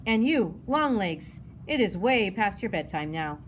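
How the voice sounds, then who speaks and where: A woman's voice: medium pitch, big pitch swings, measured pace. A woman reading a children's novel as a friendly, serious young man, a fond family friend. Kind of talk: children's novel read-aloud. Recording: noticeable background noise.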